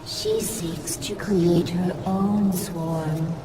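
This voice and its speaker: A woman voicing a calm, obedient alien servant of a swarm queen in a video game, formal, measured and subservient. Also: on the low side. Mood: dutiful. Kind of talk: dramatic video game acting. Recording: distant voice under loud music.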